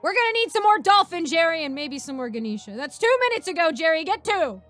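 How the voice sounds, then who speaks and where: A woman voicing a loud, bloodthirsty male sergeant in a space army who barks orders. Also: very high-pitched, very animated, fast, faint music behind, voice pushed up, full character voice. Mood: barking. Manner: barking drill-sergeant shouts.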